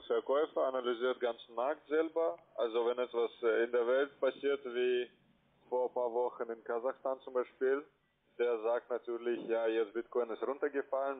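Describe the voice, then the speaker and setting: Male phone sales agent, choppy and unsure, non-native wording, narrow telephone audio. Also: medium pitch. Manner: hesitant, choppy, non-native phrasing in German. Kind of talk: halting sales pitch. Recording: thin narrow-band phone line.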